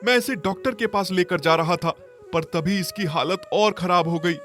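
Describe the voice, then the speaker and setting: A man's voice: high voice, normal speed, fairly bright tone. A man voicing a poor, worried husband who pleads in a children's cartoon story. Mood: pleading, sorrowful.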